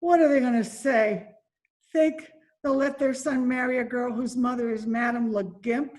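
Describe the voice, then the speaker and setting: A woman's voice: fairly high pitch, fairly even intonation, moderate pace, darkish tone, faint music behind, close to an everyday voice. A woman playing a faded, despairing former Broadway dancer and mother from Spain in an amateur radio drama, speaking with bitter drama. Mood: bitter, anguished. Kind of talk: amateur old-time radio drama acting. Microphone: video call recording.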